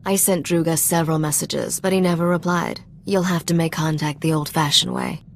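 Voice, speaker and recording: A woman's voice: sits low, steady tone, a little fast, slight background noise. A woman playing a cool, confident smuggler's partner in a video game cutscene. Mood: cool, assured. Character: confident worldly young female smuggler's partner, cool and sly.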